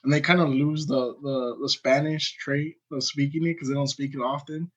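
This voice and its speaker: A man with a slightly raised pitch co-hosts in an easygoing way, padding his questions with fillers. His sound is dull and muffled, like a lo-fi mic. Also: fairly even intonation, normal speed. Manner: laid-back, filler-heavy questions. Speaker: podcast co-host. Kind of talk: casual podcast interview.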